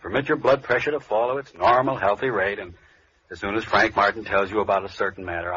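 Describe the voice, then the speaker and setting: A man's voice: fairly low pitch, fairly bright tone. Muffled vintage radio host, formal man. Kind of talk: 1940s radio host address to audience. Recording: muffled, faintly noisy vintage radio recording.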